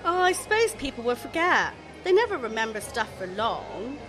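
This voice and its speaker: A woman's voice: a little high, sing-song, moderate pace, music in the background. A woman acting in a radio play comedy as a chatty, aggrieved young shop assistant, colloquial, gossipy and blunt. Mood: chatty, defensive.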